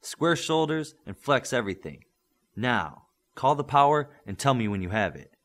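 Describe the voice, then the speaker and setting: A man's voice: mid-range voice, big pitch swings, moderate pace, a bit bright. A man voicing a firm, encouraging male superhero coach and mentor in an audiobook. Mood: firm, instructive. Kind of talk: audiobook character acting.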